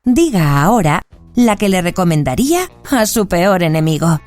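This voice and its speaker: A woman's voice: on the low side, big pitch swings, normal speed, darkish tone, faint music behind. A woman voicing a female automated movie-booth machine that reads out quiz prompts in a formal announcing manner. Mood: formal prompting. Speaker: quiz host voice.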